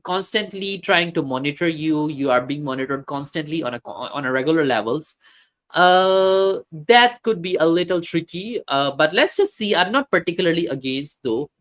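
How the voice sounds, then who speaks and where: Casual male stream host, halting delivery, lots of uh and restarts. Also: on the high side, expressive, a bit bright. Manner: hesitant, many fillers and restarts. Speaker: football talk stream host. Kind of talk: casual live-stream football chat, reading chat comments.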